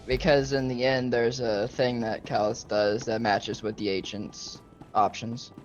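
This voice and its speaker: A man of medium pitch joins a game session as co-commentator. He speaks in short, casual conversational remarks. Faint music sits behind him. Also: flat delivery, unhurried, highs cut off. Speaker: co-commentator playing along. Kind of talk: casual gaming chat.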